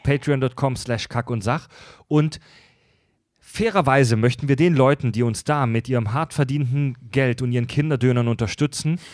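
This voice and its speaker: A man's voice: medium pitch, normal speed, a little mellow, no echo, clean studio sound. A man co-hosting a casual podcast, reading listener mail and making announcements with plenty of filler sounds. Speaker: podcast co-host.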